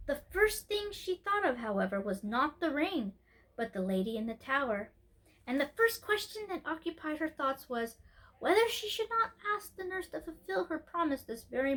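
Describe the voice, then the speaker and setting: A woman reading a children's story aloud as an expressive storyteller, occasionally stumbling over a word. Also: high-pitched, poor audio. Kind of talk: scripted narration, storybook read-aloud.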